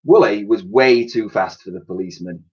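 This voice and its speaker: A man reading a children's storybook aloud in an expressive, dramatic way, as a teacher would to pupils. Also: lowish voice, normal speed, husky. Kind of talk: animated children's storybook narration. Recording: dull, lo-fi recording.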